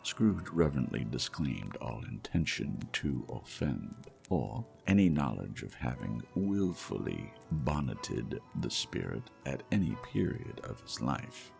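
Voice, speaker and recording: A man reading a classic story aloud as audiobook narrator, in a slow, measured, soothing manner. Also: a bass voice, big pitch swings, creaky, a little mellow. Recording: faint music behind.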